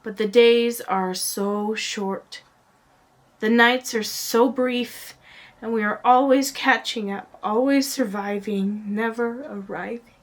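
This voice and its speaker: Slow, hushed woman reciting confessional poetry. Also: a little high, fairly even intonation. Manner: earnest, drawn-out phrasing with pauses, often hushed. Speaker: poet. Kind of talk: dramatic spoken-word poetry reading, confessional monologue.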